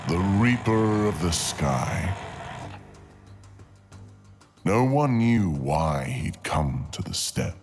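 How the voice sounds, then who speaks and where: A male narrator delivers scripted fantasy in an ASMR storytelling style. His voice is exceptionally deep and gravelly. He takes his time, placing each phrase deliberately with dramatic pauses, over faint music. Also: sing-song.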